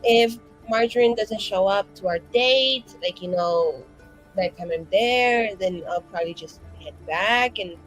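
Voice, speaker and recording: A woman's voice: mid-range voice, measured pace, faint music behind, low-quality recording. A woman playing a tabletop role-playing game, rambling on at length about her character with frequent laughter. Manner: rambling, laughing, lively swings in tone. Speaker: player.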